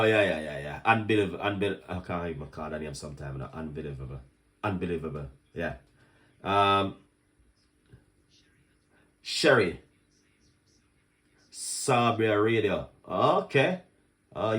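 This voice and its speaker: A man with a Jamaican accent hosting a casual cooking live stream, talking fast, laughing often and greeting viewers by where they watch from. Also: on the low side. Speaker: cooking channel host.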